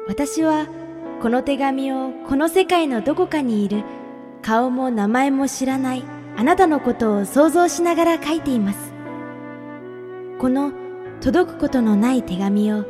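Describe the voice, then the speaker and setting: Lady acting as wistful girl reading her letter, high voice, big reverb, gentle and slow phrases. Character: wistful, dreamy young woman writing to a stranger. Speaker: voice actor in a radio drama. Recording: long hall reverb under loud music. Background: prominent music.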